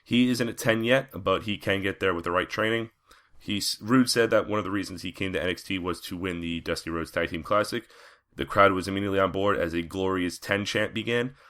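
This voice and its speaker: Deep-voiced, slightly raspy man with a cold. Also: a bit bright. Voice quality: raspy, scratchy throat. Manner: conversational with frequent fillers. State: coming down with a cold. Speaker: podcast host. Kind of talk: casual spoken recap.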